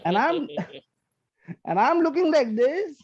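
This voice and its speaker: A man's voice: extremely high pitch, big pitch swings, slow, darkish tone, dry recording, lo-fi sound. A man from India with an Indian accent whose first language is Hindi and Punjabi, doing a profane, brash stand-up set. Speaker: stand-up comedian.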